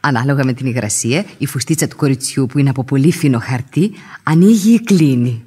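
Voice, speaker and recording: A husky spinster prattles and explains in a genteel way, showing off trinkets. It is theatrical radio drama acting. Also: female voice, very deep voice, dark tone. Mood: eager, prattling.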